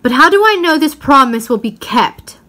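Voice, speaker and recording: A woman voicing a cruel, imperious witch queen in a storybook reading, with a shrill, declamatory delivery. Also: expressive, normal speed, bright, forceful tone. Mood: fierce suspicion.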